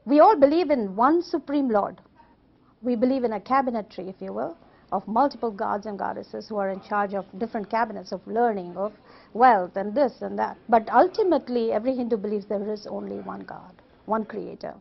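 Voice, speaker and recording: A woman's voice: mid-range voice, fairly quick, gravelly, slightly rough. A woman explaining things articulately in an interview. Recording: slightly muffled interview audio.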